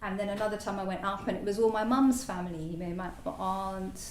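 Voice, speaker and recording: A woman whose first language is French gives a halting personal talk as a new Buddhist order member, full of fillers and restarts. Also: mid-range voice, steady tone. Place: meditation centre hall. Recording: echoey hall, distant mic, faint noise.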